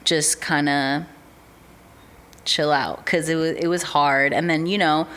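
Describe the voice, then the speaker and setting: A young woman with a notably low voice. She talks in a relaxed, chatty way, full of "um" and "like", like a guest on a casual podcast. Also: unhurried. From United States. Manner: relaxed, chatty, with many fillers like "um" and "like". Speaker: podcast guest, artist manager. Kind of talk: casual interview chat.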